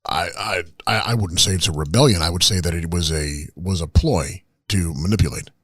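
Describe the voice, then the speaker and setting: A crude, smug old business mogul, as a man puts him on, rambling in a very low, husky voice full of stammered restarts. He sounds close and dry, with no room around him. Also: a little fast, fairly bright tone, dry recording. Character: crude arrogant retired wrestling tycoon, older man. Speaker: comedy podcast guest character. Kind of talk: improvised comedy podcast.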